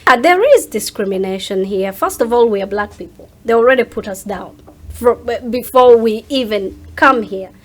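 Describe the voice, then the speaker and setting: A woman's voice: a little high, lively intonation, moderate pace. A woman speaking as an interview guest in casual conversation, making her points earnestly and acting out what others say.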